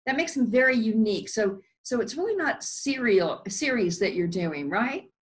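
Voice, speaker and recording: A woman's voice: on the high side, expressive, moderate pace. A woman hosting an interview, asking friendly, encouraging questions that ramble a little. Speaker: interviewer, host. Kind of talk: casual interview.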